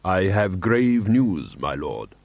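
A man voicing a suave foreign prince and diplomat with formal, measured politeness. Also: low-pitched, sing-song, vocal fry, no echo, phone-quality sound, exaggerated voice. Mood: grave, courteous.

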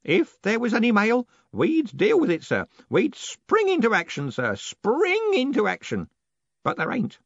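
A man voicing a doleful, deferential old postal clerk who speaks in a high, wavering voice and keeps saying sir. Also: wildly swooping pitch, a little slow, creaky, dry recording, exaggerated voice. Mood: grumbling. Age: older. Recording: dull lo-fi recording.

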